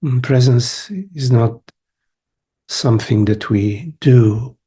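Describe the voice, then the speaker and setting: A man leading a guided meditation as a teacher, speaking gently in short phrases with long pauses. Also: medium pitch, breathy edge. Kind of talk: guided meditation, spiritual talk.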